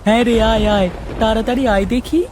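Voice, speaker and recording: A woman's voice: a little high, steady tone, quick pace, background noise, close to an everyday voice. Eager village boy voiced by a woman. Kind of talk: Bengali cartoon voice acting.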